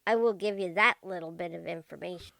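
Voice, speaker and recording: A woman's voice: lowish voice, a little fast, creaky, pushed and bright, no room sound. Radio drama role: cagey young street girl of eleven, played by a woman, out to hustle a dime, very dry sound. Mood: cagey, bargaining. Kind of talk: old-time radio detective drama acting.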